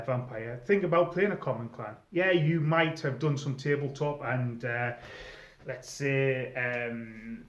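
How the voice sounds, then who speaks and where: A middle-aged man talks in a conversational, explanatory way, as if giving friendly tips on video. His voice sits at a medium pitch. The recording is slightly dull, with clear room echo. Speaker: presenter, former game storyteller. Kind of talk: informal advice video monologue.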